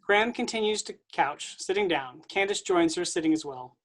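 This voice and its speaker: A woman reading stage directions aloud in an even, matter-of-fact way. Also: fairly low pitch, normal speed.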